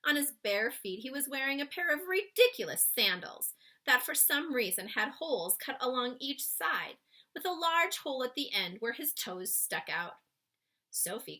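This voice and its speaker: A woman reading a children's story aloud expressively, with dramatic pauses, speaking to young listeners. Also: fairly high pitch, bright, forceful tone.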